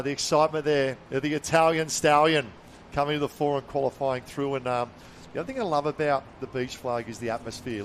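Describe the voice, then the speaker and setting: A man calling live beach sports as play-by-play commentator, excitable and quick to react to the action. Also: medium pitch, slight background noise. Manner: excitable, calls the action in bursts.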